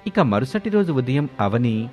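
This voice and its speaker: A man reads a scripted family tale as its narrator. His voice sits at an average male pitch, with music playing behind him. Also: very animated, a little slow, a little mellow.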